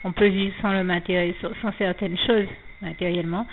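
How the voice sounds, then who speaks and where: A woman with a lowish voice speaks slowly through her faith testimony, full of hesitations, fillers and restarts, with a slight frog in her throat. The sound is thin and tinny like a phone line, with faint noise behind it. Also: fairly even intonation. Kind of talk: anonymous spoken faith testimony.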